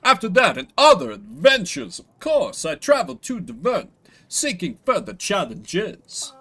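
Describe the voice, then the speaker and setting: A man acts out a self-styled hero of a fantasy game, a grandiose dragon slayer. His voice is high and husky, swinging widely in pitch. He delivers everything as florid, pompous bragging. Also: big pitch swings, a little slow, sharp tone, full character voice. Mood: eager, self-important. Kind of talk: acted dialogue for a fantasy video game.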